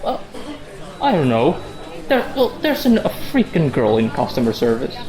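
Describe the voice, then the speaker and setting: A man's voice: medium pitch, wildly swooping pitch, moderate pace, darkish tone, poor audio. A man playing a sarcastic, defensive office worker husband in a radio drama, sardonic and emphatic. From Indonesia. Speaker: actor in a student radio drama.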